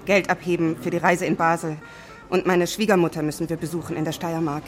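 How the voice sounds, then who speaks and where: Actress as composed, melancholy director's wife. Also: female voice, fairly low pitch, level tone, a little fast, soft background music, lightly acted. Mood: resigned. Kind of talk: German radio drama acting.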